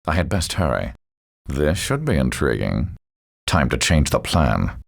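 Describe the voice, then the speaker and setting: An extremely deep, creaky villain in a video game, cold and arrogant. He speaks slowly and deliberately, with smug, mocking menace and theatrical swings in intonation. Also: sing-song, heavily acted. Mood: calm, calculating. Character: cold, arrogant male villain, superhuman schemer with sinister calm.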